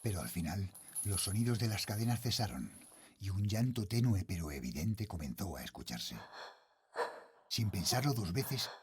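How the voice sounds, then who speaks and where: Casting: anxious father working night security, Spanish accent from Spain, low register, highly expressive intonation, horror audio fiction. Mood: worried, puzzled. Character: worried father, a night security guard narrating a horror tale. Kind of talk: scripted first-person horror audio drama narration.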